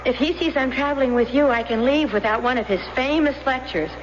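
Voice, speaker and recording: A woman's voice: sits high, brisk, natural voice. An actress playing a chatty, eager young woman in an old radio drama, with a gushing, girlish manner. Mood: chatty, excited. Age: young adult. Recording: muffled radio drama tape with loud background noise.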